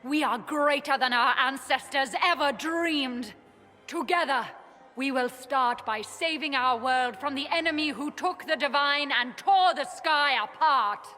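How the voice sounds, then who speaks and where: An actress playing a proud, idealistic elven ambassador in a game, speaking earnestly like a formal orator. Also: female voice, high voice, a little slow, bright, forceful tone, soft background music. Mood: proud, rousing. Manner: declamatory, earnest. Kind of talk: formal rallying speech, game acting. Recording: long echoing hall reverb.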